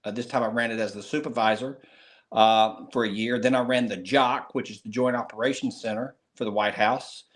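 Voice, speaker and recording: A man in his fifties speaks with a North Carolina Southern drawl, at a middling pitch. He is plainspoken and easygoing as an interview guest, and his sentences trail through fillers and restarts. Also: fairly even intonation, fairly quick. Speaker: guest, retired Secret Service agent. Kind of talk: relaxed interview storytelling.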